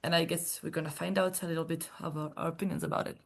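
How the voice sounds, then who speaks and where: A woman's voice: deep voice, level tone, fast, vocal fry. A young woman with a Basque accent, hosting a conversational podcast and speaking in thoughtful, hesitant phrases. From Basque Country. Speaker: podcast host.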